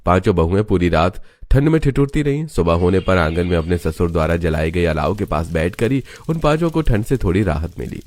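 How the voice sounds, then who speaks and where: A man's voice: low-pitched, lively intonation, brisk, few pauses, darkish tone. A man reading scripted moral-story narration as a storyteller.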